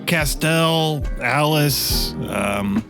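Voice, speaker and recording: A man's voice: on the high side, lively intonation, very slow. A man chatting casually as a player in a tabletop game, describing what his character does. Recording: music under the voice.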